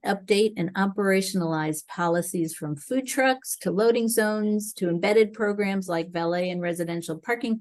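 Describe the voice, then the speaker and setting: A woman moderating a webinar, reading a speaker's biography in a steady, formal way. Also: fairly low pitch.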